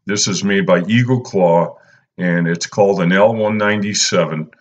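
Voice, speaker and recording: A man, talking casually and unhurriedly through a fishing how-to as a channel host, filling pauses with "uh" and "you know". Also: sits low, dull audio. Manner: laid-back, lots of "uh" and "you know". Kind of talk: casual instructional voice-over.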